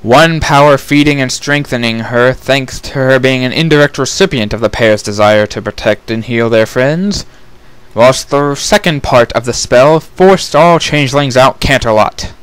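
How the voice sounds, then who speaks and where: A man's voice: medium pitch, normal speed, fairly bright tone, clear, resonant tone, no room sound, low-quality recording. A man narrating a fantasy story as a scripted dramatic reading.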